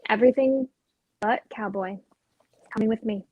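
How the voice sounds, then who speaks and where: A woman's voice: a little high, normal speed, mellow, slightly rough, poor audio. A woman acting a restless, searching female character who longs for change, in a dramatic script reading. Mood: gentle, resolved.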